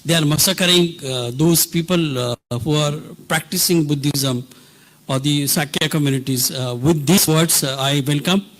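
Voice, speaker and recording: A man moderating a conference session, introducing a speaker in a halting way with many fillers. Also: a little high, a bit bright, low-quality recording. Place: conference hall.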